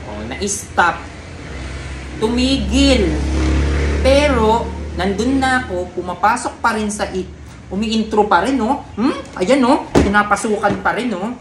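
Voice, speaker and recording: A young man speaks in a casual, needling way, repeating himself to press his complaints. His voice rings in an echoey room with some faint noise behind it. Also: high voice. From Philippines. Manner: pointed, complaining, repeats phrases for emphasis. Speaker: prankster in a couple's vlog. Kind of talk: casual reactive chat, needling a partner.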